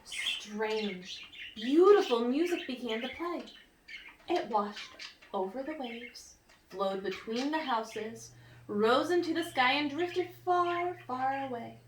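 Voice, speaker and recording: Female children's storyteller, fairly high voice, expressive and instructive, recorded in an echoey room. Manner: expressive, teacherly, pausing to ask and explain. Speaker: read-aloud storyteller for children. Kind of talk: picture book read-aloud with questions to young listeners. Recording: roomy, distant mic with faint noise.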